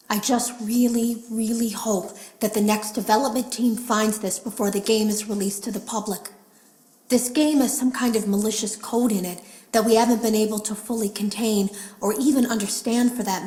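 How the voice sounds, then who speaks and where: A nervous female developer, played by a woman in a video game, confiding secrets in her audio logs. Her tone is hushed and worried, her pitch middling. A roomy echo hangs around the voice. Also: close to an everyday voice.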